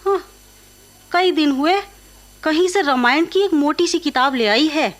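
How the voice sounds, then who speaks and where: A young woman of the household in a radio drama. Her voice is girlish and strikingly high. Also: measured pace. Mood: complaining. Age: young adult. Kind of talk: Hindi radio play acting.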